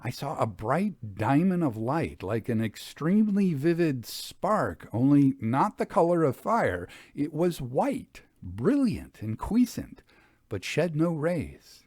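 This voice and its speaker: Expressive male storyteller, close and dry. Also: on the high side, takes it slowly, no room sound. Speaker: audiobook reader. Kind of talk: scripted narration of a Victorian ghost story.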